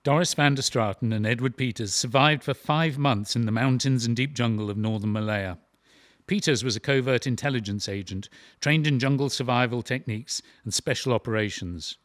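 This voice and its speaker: A man's voice: medium pitch, fairly quick, dry recording. A man narrating a historical audio drama from a script, in documentary style.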